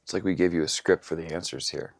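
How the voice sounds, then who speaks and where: A man's voice: sits low, quick pace. A man coaching at a gym, casually interviewing a member with friendly, teasing questions.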